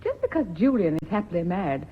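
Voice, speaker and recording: An actress playing a self-possessed secretary with a tart, teasing manner in an old-fashioned radio drama. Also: female voice, lowish voice, sing-song, fast. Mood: probing, playful. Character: sharp-witted, self-possessed female secretary. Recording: muffled, faintly hissy radio recording.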